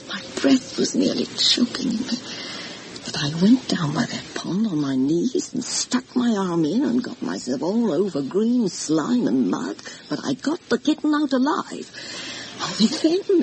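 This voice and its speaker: Anxious housekeeper, swooping storyteller, noisy recording. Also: female voice, mid-range voice, big pitch swings, measured pace. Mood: sorrowful, confiding. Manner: deferential, confiding, dramatic storytelling. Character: anxious, gossipy older housekeeper recalling family tragedy. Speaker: actress in a radio drama. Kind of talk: vintage radio horror drama acting. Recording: noisy vintage radio recording.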